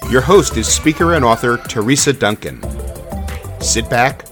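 Lively male announcer over loud music. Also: mid-range voice. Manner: lively, drawn-out announcer delivery. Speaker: show announcer. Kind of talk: scripted show intro over music.

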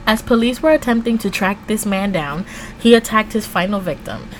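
A woman hosting a true crime podcast, switching between scripted storytelling and slangy, informal, animated asides. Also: medium pitch, normal speed, a little hiss or hum, rough recording.

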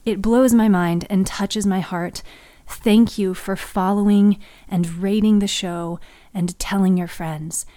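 A woman narrating and hosting her own scripted fiction podcast, with expressive storytelling delivery. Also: mid-range voice, slow, no echo. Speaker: writer, narrator and podcast host. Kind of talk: dramatic scripted story narration and host's outro.

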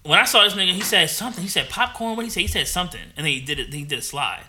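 A fast-talking man hosting a loose podcast conversation. His voice is very bright and slightly high. He jokes constantly and keeps the energy animated. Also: slight background noise. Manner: animated, joking, laughs often. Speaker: podcast host. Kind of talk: casual podcast chat.

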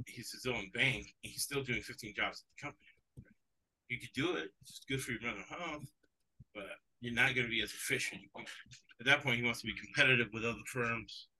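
A man's voice: medium pitch, a little fast, sharp tone, a little hiss or hum, poor audio. A man, English his second language, hosting a sports betting podcast in casual, rambling chat. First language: not English. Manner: rambling, digressive, chuckles often.

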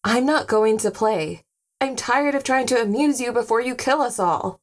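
A woman's voice: fairly high pitch, lively intonation, moderate pace, lightly acted. A woman playing a defiant heroine standing her ground in an audio drama. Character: defiant, pleading heroine contestant.

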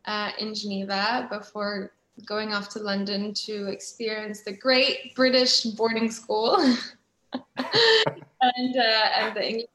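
A woman's voice: mid-range voice, takes it slowly, a bit bright. A woman from Switzerland chatting casually as an interview guest, with an enthusiastic, giggly manner. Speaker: guest, actress and producer. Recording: roomy, echoing sound.

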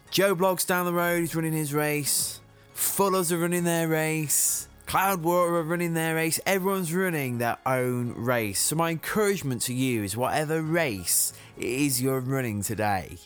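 A young Englishman hosts his podcast in a chatty, jokey, self-deprecating way. His voice sits at an ordinary male pitch, and faint music plays underneath. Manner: jokey, self-mocking, energetic. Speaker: podcast host. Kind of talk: casual podcast monologue.

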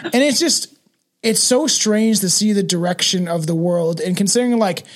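A man's voice: high-pitched, normal speed. A man in his thirties chatting casually as a podcast host, rambling and slangy with frequent swearing. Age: 30s.

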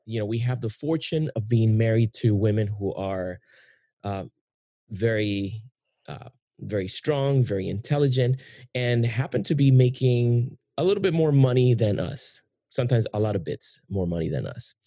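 A man's voice: on the low side, lively intonation, moderate pace, dark tone, no echo. A man hosting a podcast, chatting casually with a guest, often hesitating with fillers and restarts. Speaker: podcast host.

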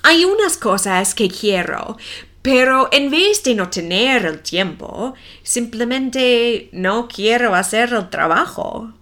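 A woman in her forties with a non-native accent, reading a simple story slowly and carefully for language learners. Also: on the high side, lively intonation, pushed and bright. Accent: non-native Spanish accent. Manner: careful, deliberate reading with clear pauses. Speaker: Spanish teacher.

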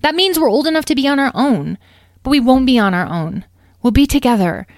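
A woman playing an eager, high-pitched young dragon. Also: expressive, brisk, no echo, clean studio sound. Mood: determined.

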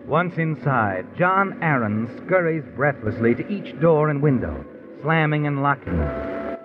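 An actor playing an ominous all-knowing mystery narrator who taunts in a menacing way, in 1950s radio style. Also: male voice, on the high side, big pitch swings, a little slow. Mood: ominous, taunting. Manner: menacing, taunting, addresses the character directly. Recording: lo-fi old radio broadcast. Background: dramatic music.

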